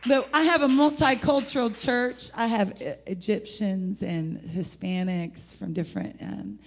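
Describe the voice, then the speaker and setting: A middle-aged woman with an American accent and a husky, gravelly voice, preaching an informal, rambling sermon in an animated, folksy way. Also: medium pitch, unhurried, slight background noise. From United States (Indianapolis, Indiana). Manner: animated, rambling, folksy, breaking into laughter and exclamations. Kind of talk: informal sermon with personal testimony.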